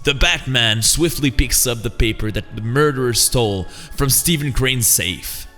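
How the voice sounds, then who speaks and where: A man's voice: mid-range voice, moderate pace, pushed and bright, long reverb tail, faint music behind. A man narrating a comic-book read-along in a theatrical, emphatic style.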